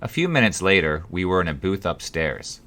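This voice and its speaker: A man narrates a first-person story in a low, bright voice. His delivery is scripted and wry, with dry humor. The pitch moves widely from phrase to phrase, and he leaves dramatic pauses. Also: very animated. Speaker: author reading his own book.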